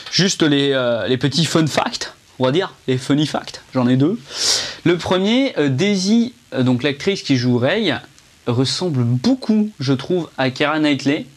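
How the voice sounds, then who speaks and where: A man's voice: on the high side, animated, a little slow. A young man giving a casual, rambling film review, chatty and full of fillers and restarts, speaking indoors to a camera under a ring light.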